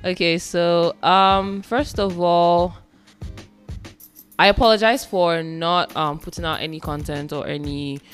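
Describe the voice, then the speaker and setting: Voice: medium pitch, fairly even intonation, measured pace, fairly bright tone, clean tone, faint music behind. Host from nigeria, um-ing a lot, friendly and casual. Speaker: podcast host. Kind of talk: casual podcast hosting.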